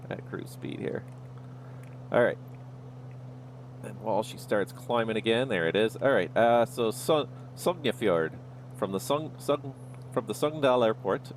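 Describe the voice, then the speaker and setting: A man with a low voice and a gravelly edge to it. He is streaming a flight sim and thinks aloud in an easygoing way. Faint noise sits under the voice. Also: poor audio. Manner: easygoing, talks himself through each step. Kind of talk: livestream commentary, thinking aloud.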